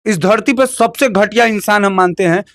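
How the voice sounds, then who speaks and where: A man's voice: high voice, moderate pace, a bit bright, no room sound. A man with a Bhojpuri accent giving heated opinions in an interview, emphatic and combative, repeating phrases and firing rhetorical questions.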